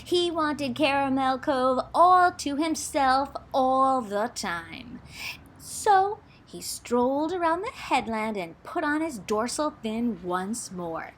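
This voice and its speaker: Female storyteller for children's picture book, high bright voice, theatrical and suspenseful, noisy recording. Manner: theatrical, expressive, drawn-out suspense. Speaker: drama teacher reading a children's book aloud. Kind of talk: dramatic, animated children's storytelling.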